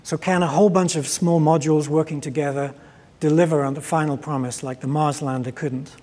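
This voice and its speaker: Male keynote speaker, middle-aged, slightly high-pitched and husky, measured explanatory delivery, big reverberant hall. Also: fairly even intonation, mellow. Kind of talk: conference keynote, explanatory lecture. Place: large conference stage, indoors. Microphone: clip-on lapel mic.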